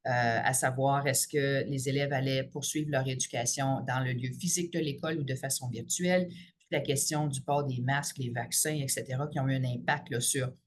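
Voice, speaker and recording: A woman in her fifties with a Franco-Ontarian accent, a teachers' union president giving a podcast interview. She speaks in a measured way, filling her pauses with "euh" and "là". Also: a bass voice, steady tone. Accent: Franco-Ontarian French. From Ottawa region, Ontario, Canada. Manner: measured, frequent "euh" and "là" fillers.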